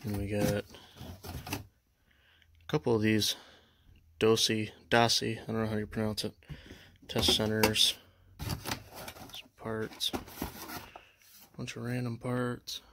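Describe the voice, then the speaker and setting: A young man chatting casually in a vlog, halting and full of fillers, filming indoors at a house. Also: lowish voice, completely flat delivery, heavy background noise. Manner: halting, many fillers, unsure. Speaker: vlogger. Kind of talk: casual show-and-tell vlog.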